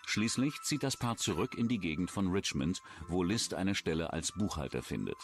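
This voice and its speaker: Deep-voiced man narrating true crime over music. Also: animated, normal speed.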